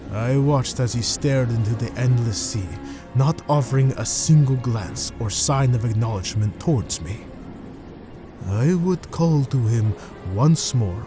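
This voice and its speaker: An actor playing a haunted ship's officer in an audio drama, telling a sea tale in measured, solemn, formal phrasing. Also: male voice, mid-range voice. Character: haunted sailor, first mate, recounting a sea tale. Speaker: narrating character in an audio drama. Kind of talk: dramatic scripted first-person storytelling.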